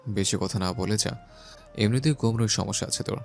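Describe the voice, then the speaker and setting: A gruff injured young husband. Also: female voice, rumbling low, fairly quick, darkish tone, soft background music, pitched below the performer's own voice. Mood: firm, gentle. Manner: firm, curt, scolding tenderly.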